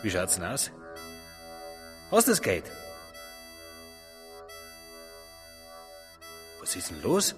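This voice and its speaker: Jeering Bavarian thug, wildly swooping voice. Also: male voice, sits low, wildly swooping pitch, unhurried, exaggerated voice. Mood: jeering, impatient. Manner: taunting, coarse, mock-polite. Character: menacing, jeering male ex-convict blackmailer. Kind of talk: radio drama acting. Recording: long reverberant space, music underneath. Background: music.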